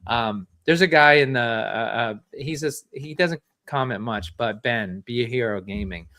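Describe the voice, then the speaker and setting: A man hosting a casual chat, asking long rambling questions and giving shout-outs. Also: medium pitch, measured pace, dry recording, studio quality. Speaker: show host.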